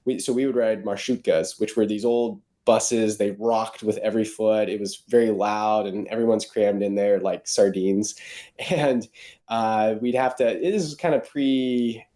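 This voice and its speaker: A man who grew up in Ukraine tells stories as a podcast guest, keen and chatty, with many fillers and false starts. His voice sits fairly low and has a mellow, dark tone. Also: steady tone, unhurried. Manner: enthusiastic storytelling, many fillers and restarts. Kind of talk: casual interview.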